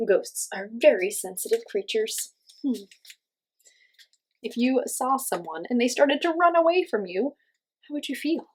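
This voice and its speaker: Expressive woman reading aloud to young children. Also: on the high side, unhurried, long pauses, slightly rough. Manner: addresses children with questions and simple explanations. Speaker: children's read-aloud host and teacher.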